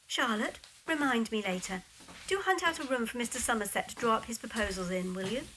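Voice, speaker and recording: An actress playing a brisk, opinionated young heiress in a period audio drama, with a genteel, imperious manner. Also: female voice, on the high side, lively intonation, fairly bright tone, a little hiss or hum, poor audio.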